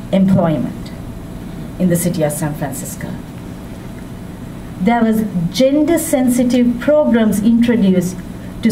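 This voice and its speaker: A woman from Sri Lanka giving a public speech as a women's rights advocate, with a measured, deliberate delivery and emphatic rises. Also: fairly high pitch. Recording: distant mic with background noise.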